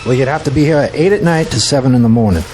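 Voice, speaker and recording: An actor playing a gruff but kindly middle-aged boss, speaking casually and hesitantly in an audio drama. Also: male voice, medium pitch, very fast, darkish tone, a little hiss or hum, close to an everyday voice. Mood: cautious.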